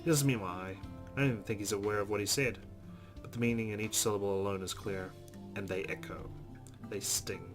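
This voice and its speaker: A man's voice: on the low side, fairly even intonation, fairly quick. A man reading visual novel narration aloud as a streamer, stumbling now and then and dropping in amused asides. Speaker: let's play streamer. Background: guitar music from the game.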